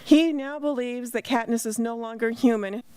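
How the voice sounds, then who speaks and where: A woman's voice: a little high, moderate pace, warm tone, clean tone, no room sound. A woman narrating a scripted recap for a radio drama. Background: music.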